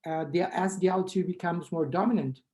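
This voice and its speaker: A middle-aged man with a strong Brazilian Portuguese accent, hoarse, giving an academic conference talk over slides on a video call, often correcting himself and checking with "right?". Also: sits high, brisk, dark tone. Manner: restarts and self-corrections, frequent "right?". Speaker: conference speaker. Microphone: webcam on a video call.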